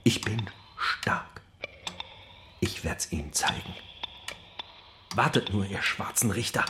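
An actor playing a defiant, fanatical prisoner on hunger strike in a theatrical radio play, speaking with intense, declamatory delivery. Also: male voice, lowish voice, lively intonation, takes it slowly, noisy background. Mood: defiant, menacing. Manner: intense, declamatory, shifting from hushed mutter to ringing proclamation.

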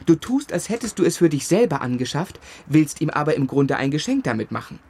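A man playing an earnest, affectionate young man who recalls his life in measured literary phrasing for a radio drama. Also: a little high, very animated, a little mellow, husky, full character voice. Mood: moved. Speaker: narrator and lead actor.

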